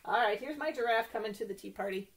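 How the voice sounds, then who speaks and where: A woman doing a children's story time, animated and sing-song, speaking straight to young listeners and asking them questions. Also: fairly high pitch, quick pace, creaky. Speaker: children's librarian reading stories to kids.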